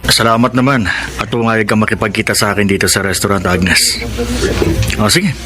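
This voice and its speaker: A scheming, possessive man who talks smooth and persuasive , as an actor voices him. He is the villain of a radio drama. Loud music plays under his lines. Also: on the low side, moderate pace, natural voice. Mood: pleading. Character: obsessive, scheming male suitor, villainous.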